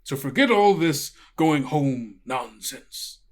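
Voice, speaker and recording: A man's voice: medium pitch, lively intonation, takes it slowly. A man voicing a stern owl school principal in a tabletop game, formal, condescending and coldly authoritative. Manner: formal, haughty, belittling.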